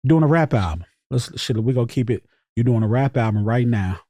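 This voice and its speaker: A man's voice: medium pitch, expressive, a little fast, mellow, no room sound. A middle-aged man hosting a casual podcast interview, reacting informally with short repeated interjections. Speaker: podcast host.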